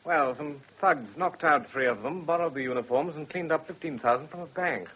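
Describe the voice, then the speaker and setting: A man's voice: on the high side, brisk, husky. A man acting a wry, self-deprecating young barrister with a British accent in a radio drama. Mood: dry, wry. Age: young adult. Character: wry, discontented young barrister, husband and father. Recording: slightly muffled radio drama recording.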